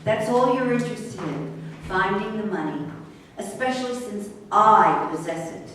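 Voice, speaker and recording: A woman reading the part of a defiant, sharp-tongued racehorse trainer in a staged reading, combative and sarcastic. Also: medium pitch, expressive, measured pace. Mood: defiant, indignant. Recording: echoey room, distant mic, faint noise.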